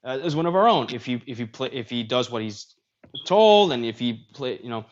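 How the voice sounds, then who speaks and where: Male stream host, chatty commentator reading posts aloud, mid-pitched, somewhat lo-fi sound. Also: animated, a little fast. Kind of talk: casual livestream commentary.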